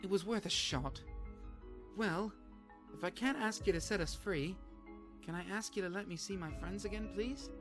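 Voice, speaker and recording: A woman's voice: mid-range voice, normal speed, pauses often, close to an everyday voice. A woman playing an earnest, easily flustered young female pony detective in a cartoon, with a wry, hesitant manner. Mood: wary. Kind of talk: animated cartoon acting. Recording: music behind the voice.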